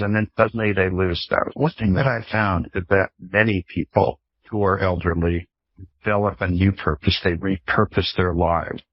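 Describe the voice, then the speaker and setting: A man in his fifties speaks as an expert guest, in a lowish, somewhat rough voice. He explains conversationally, working through examples and rhetorical questions. The sound is dry and a little dull, like lo-fi call audio. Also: dry recording. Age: 50s. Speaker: professor, author and guest expert. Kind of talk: explanatory interview talk with illustrative anecdotes.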